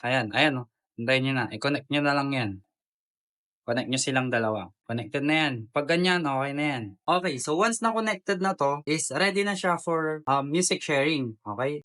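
A man with a Filipino accent walks viewers through a tutorial in an informal vlogger style. His voice sits a little high for a man and sounds smooth and clear. He talks casually, as if to friends. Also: fairly bright tone. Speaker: vlogger giving a product tutorial.

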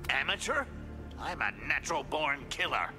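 An actor playing a gleefully menacing masked slasher killer in a video game, gravelly and teasing, drawing out his words. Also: fairly high pitch, big pitch swings, music in the background. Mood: gleefully violent. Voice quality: gravelly, breathy at times. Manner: sinister, teasing, drawn-out delivery. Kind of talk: video game villain acting.

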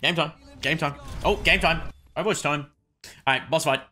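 A young adult man chatting excitedly on a gaming livestream, tossing out short repeated catchphrases. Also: fairly high pitch, moderate pace. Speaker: game streamer.

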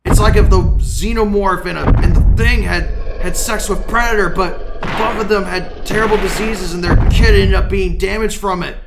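A cocky old grandfather scientist from a cartoon. His voice sits high for a man, and he rants in crude, sarcastic, stammering bursts. Music plays behind him. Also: steady tone, normal speed, vocal fry, hardly any pauses, rough recording. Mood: disgusted, mocking. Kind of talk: fan-made cartoon audio drama acting.

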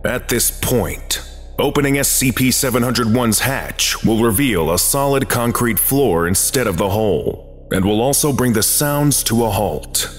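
A man narrating a scripted archive briefing in a formal, measured, clinical manner. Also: medium pitch, animated. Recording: long reverb, music behind. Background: music.

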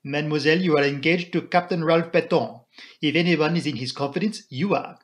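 A man voicing an elderly, courteous detective in an audiobook, with a formal, imploring, deliberate delivery. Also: fairly high pitch, natural voice. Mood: urgent pleading. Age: older. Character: elderly Belgian male detective, courteous, earnest, commanding.

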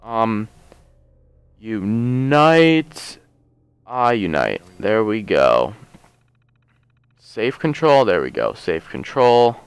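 Low, unhurried, slightly hoarse male gamer. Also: sing-song, dry recording. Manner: rambling, jokey, thinks aloud. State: hoarse, losing his voice. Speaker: let's play host. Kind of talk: casual gameplay commentary.

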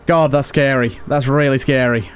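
A man's voice: medium pitch, steady tone, moderate pace. A man giving casual let's play commentary as a gaming host, thinking aloud and often repeating his phrases. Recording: clear background game ambience. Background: video game ambience and effects.

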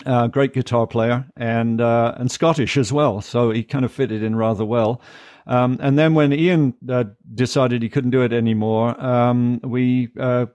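An older man from Britain, chatting as a guest musician in a relaxed, rambling way full of fillers and restarts. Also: mid-range voice, a little slow, no room sound. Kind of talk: casual interview talk. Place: home music studio room. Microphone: studio mic, headphones on.

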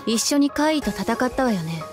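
A woman's voice: a little high, expressive, moderate pace, music in the background, close to an everyday voice. A Japanese actress playing a composed, matter-of-fact young female soldier in an anime game. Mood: cool, blunt.

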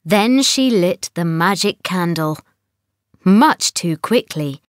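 A woman narrating a children's storybook in an expressive, scripted reading style. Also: on the low side, slow, no room sound, clean studio sound.

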